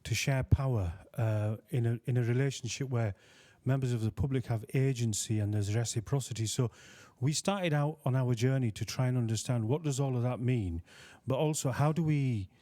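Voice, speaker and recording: A man on a research panel, explaining his work in a relaxed way with many fillers. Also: mid-range voice, moderate pace, few pauses. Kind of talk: panel discussion answer.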